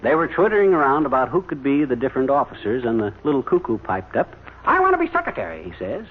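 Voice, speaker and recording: A man's voice: mid-range voice, wildly swooping pitch, quick pace, breathy edge, narrow, tinny audio. A man playing a folksy, patient storyteller who spins tales for a child in homely phrases, in old-time radio comedy style. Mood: warmly dramatic. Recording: thin, hissy old radio recording.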